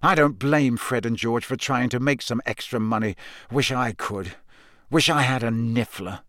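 Man doing sulky teenage boy, raised boyish pitch, touchy, dry close recording. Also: moderate pace, fairly bright tone, no echo, natural voice. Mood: gloomy.

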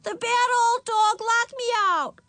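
A woman voicing a loud, defiant little girl in a storybook, screaming and whining her words. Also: high voice, very animated, unhurried, dry recording, pitched above the performer's own voice, exaggerated voice. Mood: angry screaming. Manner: screaming, whiny.

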